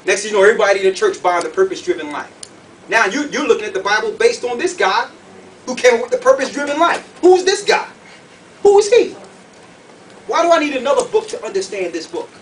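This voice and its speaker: High-pitched man preaching, from afar. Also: lively intonation, quick pace, a bit bright, recorded from a distance. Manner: colloquial, emphatic, repeats questions rhetorically. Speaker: preacher teaching a group. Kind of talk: animated sermon with questions to the audience. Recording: noisy room, picked up from afar.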